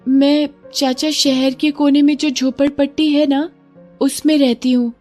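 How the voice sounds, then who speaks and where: A woman's voice: sits high, level tone, measured pace, dark tone, soft background music, pitched above the performer's own voice. A woman voicing a timid, poor ten-year-old beggar girl in a cartoon story, speaking meekly and hesitantly. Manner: hesitant, meek. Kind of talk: Hindi moral-story cartoon voice acting.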